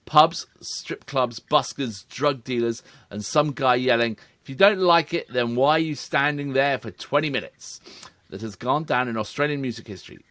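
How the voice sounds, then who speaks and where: A man's voice: mid-range voice, normal speed, a bit bright, no echo. A middle-aged man, co-hosting a reaction video in casual chat, reading out notes with asides. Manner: chatty, reads background notes with asides.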